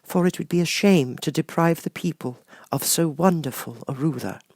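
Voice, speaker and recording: A man voicing a kindly young good witch in a storybook, gentle, gracious and measured. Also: fairly high pitch, creaky, no echo, studio quality, voice pushed up.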